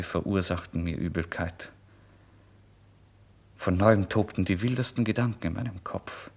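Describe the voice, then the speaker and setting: An actor playing a starving, proud and agitated down-and-out man in a dramatic literary radio monologue. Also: low voice. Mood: agitated, feverish. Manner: dramatic, swinging from hushed brooding to indignant outbursts.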